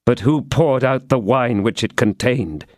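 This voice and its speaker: A man voicing a grave older musketeer who speaks in a low, faltering, solemn way. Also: a little slow, no echo, studio quality, natural voice.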